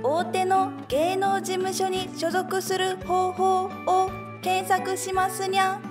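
A woman's voice: extremely high pitch, monotone, takes it slowly. A woman voicing a cute cat-shaped smart speaker in an audio drama, adding a cat-like "nya" to her sentences. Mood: cute, helpful. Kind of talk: radio drama acting. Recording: with background music.

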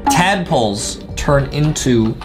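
A young American man comments playfully on a video game as co-host. He is excitable, with very animated pitch movement. Music is clearly heard behind him. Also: slow pace. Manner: excitable, kid-friendly. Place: small indoor studio, seated beside co-host.

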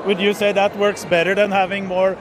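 A man's voice: high voice, little pitch movement, brisk, noisy background. A man in his thirties with a Norwegian accent, chatting and joking as he livestreams from a fitness expo. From Norway. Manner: chatty, joking, asks questions. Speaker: streamer. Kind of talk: casual livestream chat. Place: busy indoor fitness expo hall. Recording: loud crowded trade-fair hall. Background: loud crowd chatter.

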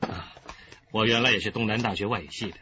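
Muffled, noisy radio drama: male actor as a courteous predator, coaxing and solicitous, mid-pitched. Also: fast talker, lightly acted. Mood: eagerly welcoming. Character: outwardly courteous male killer luring a young tutor. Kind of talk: Chinese radio drama acting.

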